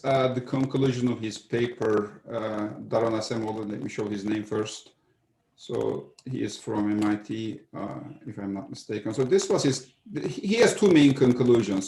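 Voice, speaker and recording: A man giving an economist's view in an online seminar, explaining carefully with many pauses. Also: on the low side, darkish tone. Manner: explanatory, hesitant, many uhs. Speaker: economics panelist. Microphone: video call. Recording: echoey room.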